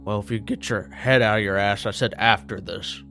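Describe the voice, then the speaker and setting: A man playing a tabletop roleplaying game, improvising casually and haltingly as he speaks for his character. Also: on the low side, fairly even intonation, bright, forceful tone, faint music behind.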